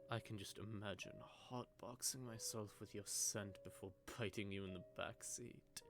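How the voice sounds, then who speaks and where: A sardonic male vampire acted by a man speaks in a low voice over prominent music. He taunts and teases his prey in a drawling, intimate monologue, menacing but secretly sentimental. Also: vocal fry. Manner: teasing, taunting, drawling menace with soft asides. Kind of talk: scripted intimate audio roleplay monologue.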